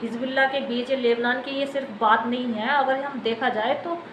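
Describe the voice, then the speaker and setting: A woman's voice: sits high, steady tone, moderate pace. A woman with an Indian accent giving unscripted news commentary, explaining things to viewers in a wordy, repetitive way. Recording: echoey room, clear background noise.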